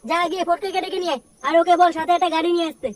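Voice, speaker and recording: A woman voicing a bossy, irritable village man in a comedy cartoon, scolding and foul-mouthed, with a pitched-up cartoon sound. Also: fairly even intonation, rapid-fire, a little mellow, recorded from a distance.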